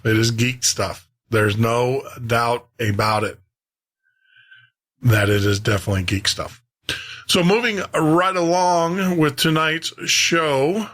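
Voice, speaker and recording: A man hosting a late-night podcast, presenting casually with measured pauses. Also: mid-range voice, sharp tone. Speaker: podcast host.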